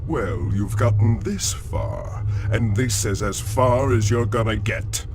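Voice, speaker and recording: A man's voice: medium pitch, slow, background noise, natural voice. A man playing a tyrannical evil mask spirit in a video game, commanding and impatient. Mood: furious, commanding. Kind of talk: video game cutscene acting.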